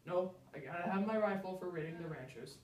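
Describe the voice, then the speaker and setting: A wry country man played by a storyteller, deliberate and plainspoken, a little gruff in temper. The storybook figure is heard in a roomy space, some way from the microphone. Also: mid-range voice, a little mellow, distant mic, a little hiss or hum, close to an everyday voice. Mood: indignant. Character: Pinto Jack, gruff, wry rancher-raiding pipe smoker, man.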